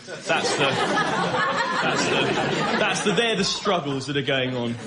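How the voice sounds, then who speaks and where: A man's voice: fairly high pitch, expressive, a little slow, a loud crowd. A man riffing comically as a panel show guest, with an incredulous, mock-exasperated way of ranting. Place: radio panel show before a live audience. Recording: noisy live recording with audience.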